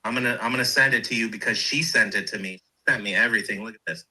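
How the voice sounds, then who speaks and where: A man talking casually and excitedly, explaining things insistently and repeating himself to get his point across. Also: lowish voice, quick pace, breathy edge, low-quality recording.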